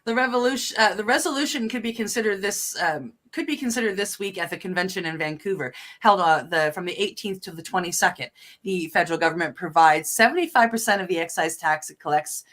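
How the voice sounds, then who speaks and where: A woman's voice: medium pitch, fast talker, fairly bright tone. A woman from Canada co-hosting a news show, reading stories and chatting with many fillers and restarts. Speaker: co-host reading cannabis news. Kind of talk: casual news reading and chat.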